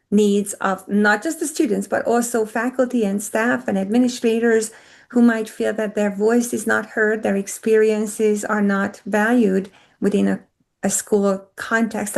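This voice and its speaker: A woman's voice: mid-range voice, vocal fry, a little mellow. A woman whose first language is Hungarian, speaking earnestly as a panelist in an online discussion over a video call.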